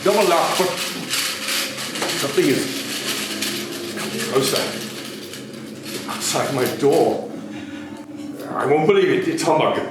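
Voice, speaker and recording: An echoey, noisy room recording of a stage reading. A man performs a grouchy old miser with slow delivery and swooping intonation. He sounds stingy and crabby. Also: fairly high pitch, expressive. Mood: alarmed. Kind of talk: amateur dramatic stage reading.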